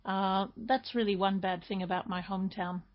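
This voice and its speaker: A woman's voice: medium pitch, steady tone, normal speed. An Australian woman with a mid-range voice answers in a plain, matter-of-fact way. The recording is slightly muffled. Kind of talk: casual spoken answer.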